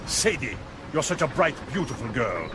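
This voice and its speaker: An actor playing a smug, menacing politician villain with a smooth, mock-polite manner in an audio drama. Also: male voice, medium pitch, sing-song, normal speed, very noisy, lightly acted. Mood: smug menace.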